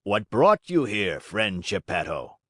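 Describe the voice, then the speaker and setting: A man voicing a surly, weary old carpenter in a storybook, with drawn-out delivery. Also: on the low side, big pitch swings, fairly bright tone, no echo, studio quality, full character voice. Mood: weary, dry.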